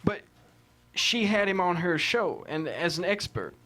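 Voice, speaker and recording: A man's voice: high-pitched, very animated, measured pace, pushed and bright, full character voice. An actor playing a stubborn, proud cattleman in a scripted drama read, blunt and folksy. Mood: indignant. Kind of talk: dramatic table read acting.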